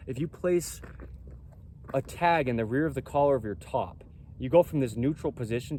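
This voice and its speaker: A man with a boomy, projecting voice, a clothing company founder explaining in an emphatic monologue that keeps checking in with "right?" and "okay?". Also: a little mellow, a little hiss or hum. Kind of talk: self-recorded explainer monologue.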